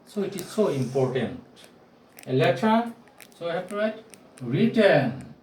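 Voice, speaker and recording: A man in his forties, a non-native English speaker, teaching grammar at a whiteboard in a deliberate, projected way, repeating key phrases and asking rhetorical questions. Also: on the high side, big pitch swings, a little mellow. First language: not English. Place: small indoor room with bare walls, at a whiteboard. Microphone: camera or phone mic a few steps away. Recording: roomy echo, distant camera microphone.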